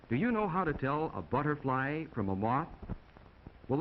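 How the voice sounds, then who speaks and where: Very animated 1950s American educational film narrator, man. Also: medium pitch. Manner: folksy, instructive, chatty asides to young viewers. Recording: dull, noisy 1950 film soundtrack.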